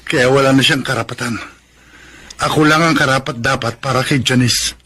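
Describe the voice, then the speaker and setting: An actor playing a poor, proud, heartbroken young farmer and jealous lover in a Filipino radio drama, earnest and melodramatic. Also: male voice, medium pitch, steady tone, normal speed, pushed and bright, slightly rough. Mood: insistent, sorrowful. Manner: melodramatic, earnest, self-pitying. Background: background music.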